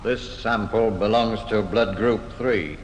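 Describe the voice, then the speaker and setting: An actor playing a careful, methodical forensic examiner in vintage radio style. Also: male voice, lowish voice, takes it slowly. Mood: measured, intent. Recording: dull, echoey, noisy vintage radio recording.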